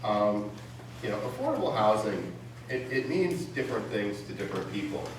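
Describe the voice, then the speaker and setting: Low-voiced man in a very echoey hall. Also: sing-song. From Massachusetts, United States. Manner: conversational, halting repetitions. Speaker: city councilor. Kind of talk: informal campaign speech. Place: large indoor public forum room. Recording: echoey hall, distant mic, background noise.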